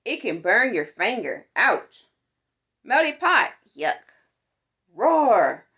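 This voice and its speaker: A woman reading a children's picture book aloud in an expressive, deliberate way, with playful exclamations. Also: on the high side. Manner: deliberate, animated, with playful sound words and exclamations. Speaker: storytime reader for a fire department.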